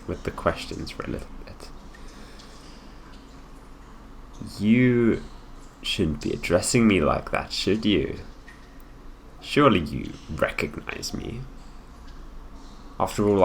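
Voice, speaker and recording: Casting: male actor as imperious, coolly menacing young royal heir for ASMR roleplay; very deep, condescending delivery, noticeable background hiss. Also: lively intonation, a bit bright, full character voice. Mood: amused, haughty. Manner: condescending, deliberate, drawn-out phrasing with pauses. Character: haughty possessive young prince, heir to the throne, coolly menacing. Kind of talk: improvised ASMR roleplay, addressing the listener.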